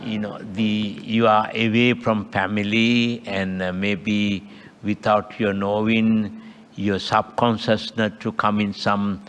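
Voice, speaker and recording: An older Buddhist monk with a Sri Lankan accent, his first language Sinhala, giving a dhamma talk in halting, broken English and checking in with a questioning "huh?". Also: lowish voice, flat delivery, a bit bright, slight background noise. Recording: long hall reverb.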